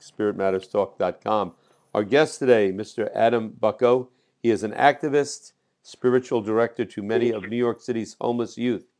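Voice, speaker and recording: A man with a fairly low voice hosts a podcast. He speaks in the style of a prepared introduction, presenting to listeners. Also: a little mellow. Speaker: podcast host.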